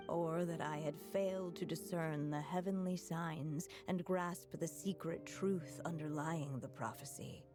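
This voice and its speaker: A woman voicing a solemn alchemist who speaks in a measured, formal way. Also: low voice. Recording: game score loud behind.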